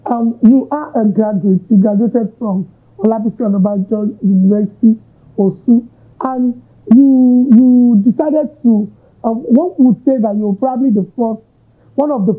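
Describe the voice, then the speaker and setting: A Nigerian man hosting an interview, asking long, winding questions with Yoruba asides. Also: extremely high pitch. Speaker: interviewer, host. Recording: tinny narrow-band audio.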